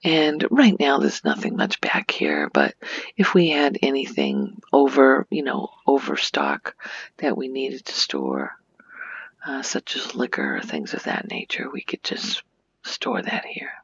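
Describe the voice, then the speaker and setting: Breathy, hushed woman, slow ASMR delivery. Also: extremely low pitch, sing-song, no echo, highs cut off. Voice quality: soft, breathy, often near-whispered. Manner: gentle, unhurried, hushed. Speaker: video creator giving a game tour. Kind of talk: soft-spoken ASMR game tour narration.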